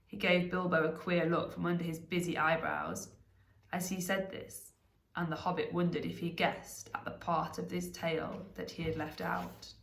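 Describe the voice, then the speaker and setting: A woman narrating a storybook aloud in an expressive reading style. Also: lowish voice, moderate pace, gravelly, roomy sound, slight background noise, rough recording.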